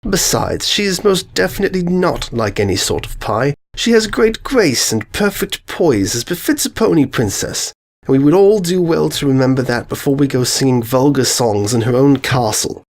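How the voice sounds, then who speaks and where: Haughty high-society mare pony, posh and scolding, as a man puts her on; lilting, raised pitch. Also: expressive, moderate pace.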